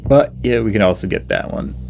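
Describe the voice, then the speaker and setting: A man's voice: lowish voice, brisk, vocal fry, a little mellow, soft background music. A man doing casual gameplay commentary, thinking aloud in a hesitant, musing way that trails off mid-thought. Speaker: video game player and commentator.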